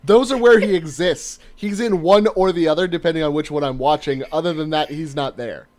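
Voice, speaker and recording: A man in his thirties chatting casually as a podcast co-host, telling stories in an animated way with emphatic swearing. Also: on the high side, a little fast. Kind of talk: casual banter, profane chat.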